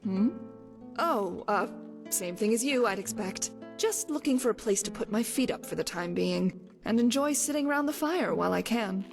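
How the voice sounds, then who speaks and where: A woman's voice: mid-range voice, animated, normal speed, vocal fry. A woman voicing a guarded, breezy desert-born warrior woman in a video game, talking in a wry, casual way. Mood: evasive, casual. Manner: wry, casual, brush-off banter. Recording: long reverb, music behind. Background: game music.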